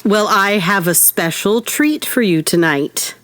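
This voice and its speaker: Woman as a gentle, patient mother, mid-range voice. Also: medium pitch, lively intonation, a little slow, no echo. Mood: affectionate, cheerful. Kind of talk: audio play acting and storytelling.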